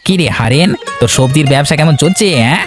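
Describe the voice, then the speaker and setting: A man's voice: a little high, expressive, quick pace, lightly acted. A man voicing an anxious, gossipy village farmer in a Bengali cartoon, with a fretful, folksy way of talking. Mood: uneasy. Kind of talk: Bengali animated moral-tale voice acting. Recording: music bed behind the voice.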